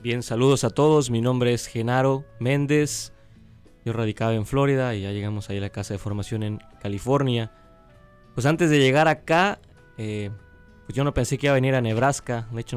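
A man's voice: mid-range voice, fairly even intonation, moderate pace, clear, resonant tone, faint music behind. A man telling a chatty anecdote as part of a missionary testimony. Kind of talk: storytelling testimony.

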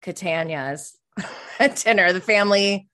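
Casual woman co-host chatting, medium pitch. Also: unhurried, fairly bright tone. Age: 30s. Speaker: podcast co-host. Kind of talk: casual chat recapping reality TV.

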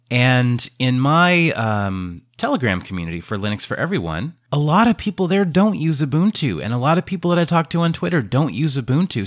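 A man's voice: medium pitch, dry recording, studio quality. A man hosting a podcast in a casual, thoughtful and sincere way. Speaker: podcast host.

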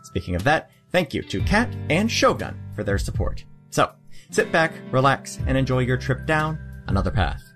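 A man in his late twenties runs a tabletop game in a casual, wry way. His pitch moves around in lively swings. Music plays behind his voice. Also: moderate pace. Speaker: game master and podcast host.

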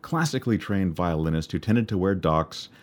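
A man with a baritone voice narrating a personal story in a wry, measured way. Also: lively intonation. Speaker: podcast narrator and musician.

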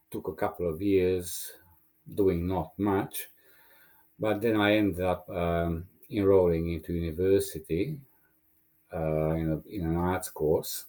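A man's voice: deep voice, warm tone. A middle-aged man from Romania, a native Hungarian speaker, speaking as a guest in a casual interview, thoughtful and hesitant with fillers.

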